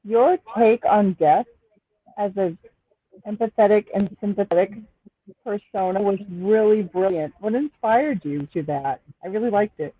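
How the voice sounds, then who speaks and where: A woman's voice: medium pitch, unhurried, no room sound. Woman on thin, phone-like audio. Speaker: participant asking the playwright a question. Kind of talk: casual, appreciative comment. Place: video call.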